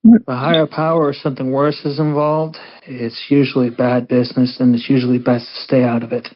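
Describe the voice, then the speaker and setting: A man's voice: medium pitch, flat delivery, a little fast. A man playing a tabletop game, speaking in character over muffled voice chat with some noise. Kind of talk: in-character role play.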